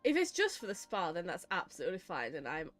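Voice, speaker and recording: A woman's voice: lowish voice, huge pitch swings, fast talker, fairly bright tone, faint music behind. A woman with a British accent giving casual, chatty, wry commentary while playing a game. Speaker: psychologist streaming a game.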